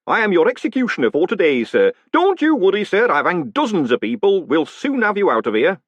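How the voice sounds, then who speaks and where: A man's voice: high-pitched, wildly swooping pitch, a little fast, no room sound, voice pushed up, exaggerated voice. Man doing a cheery, chatty hangman. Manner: friendly, businesslike, calls everyone sir. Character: cheerful obliging male hangman.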